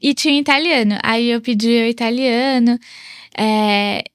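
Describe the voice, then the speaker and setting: A Brazilian woman with a slightly high voice, chatting casually as an interview guest. She talks expressively, in a Brazilian accent. The sound is dry, with very little room. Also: measured pace, clear, resonant tone, no echo. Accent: Brazilian Portuguese. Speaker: interview guest, sports psychologist. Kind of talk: casual chat.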